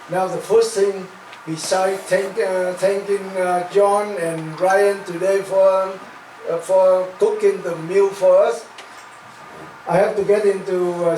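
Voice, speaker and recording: Older Vietnamese-accented man speaking slowly. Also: high voice, a little mellow. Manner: halting, many fillers and restarts, self-deprecating humor. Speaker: guest speaker. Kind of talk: after-dinner speech. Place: large hall. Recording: echoey hall, far from mic, faint noise.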